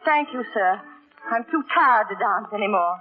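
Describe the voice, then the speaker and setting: A tinny old radio recording of a woman acting a clever young gentlewoman. She is arch and sardonic, proud and quick with a cutting reply, in the theatrical manner of 1940s radio drama. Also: mid-range voice, expressive, close to an everyday voice. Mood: icily sarcastic. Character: witty, proud, sharp-tongued young gentlewoman.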